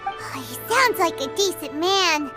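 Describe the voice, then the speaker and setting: A woman voicing a small chirpy female companion sprite in a video game, with a squeaky, childlike, sing-song delivery. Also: very animated, a little slow, exaggerated voice. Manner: eager, bouncy, sing-song. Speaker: companion character. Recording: game music bed under the voice. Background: game background music.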